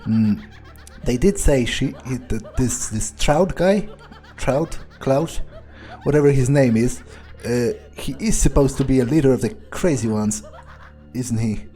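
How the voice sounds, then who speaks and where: Crude, cocky male vampire played by a man for game roleplay: slow, dark-toned, profane muttering over faint music. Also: medium pitch, close to an everyday voice. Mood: puzzled musing. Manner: profane, muttering asides to himself. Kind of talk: improvised in-character game playthrough.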